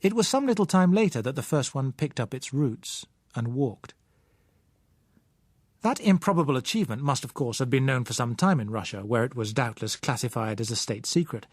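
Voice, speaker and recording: British man, audiobook narration, medium pitch, articulate, wry, measured, very dry acoustic. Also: expressive, a little mellow, slightly rough, dry recording. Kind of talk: scripted first-person novel narration.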